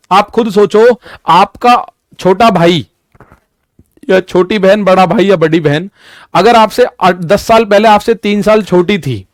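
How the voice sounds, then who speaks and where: Young male maths tutor, high voice, dry close sound, repeats key points, talks straight to students. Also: no room sound. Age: 20s. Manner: repetitive, addresses students directly with "ठीक है" and "भाई"; explains with everyday examples. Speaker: maths teacher. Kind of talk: exam-prep maths lesson.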